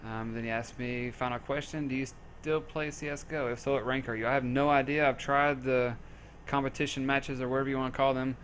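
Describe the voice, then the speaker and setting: A man's voice: medium pitch, level tone, a little fast, a bit bright, slight background noise. A man with a Southern US accent, reading out and answering community questions in a casual, joking, easygoing way. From southern United States. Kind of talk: casual group Q&A.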